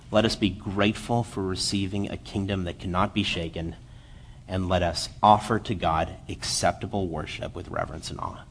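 A man in his late twenties giving a church sermon, lively while telling a story and then quiet and earnest as he reads scripture. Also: low voice, creaky, slight background noise. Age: 20s. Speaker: lay preacher.

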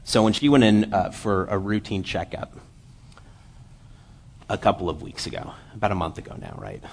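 Husky young man preaching a sermon. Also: lowish voice, normal speed. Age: 20s. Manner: animated and laughing in the story, then quiet and earnest. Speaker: lay preacher. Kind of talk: church sermon.

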